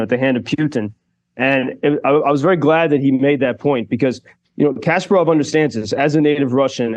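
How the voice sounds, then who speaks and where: A man's voice: medium pitch, a little mellow. A man reporting live for a radio show, talking thoughtfully with many fillers and restarts. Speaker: radio correspondent. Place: Kyiv.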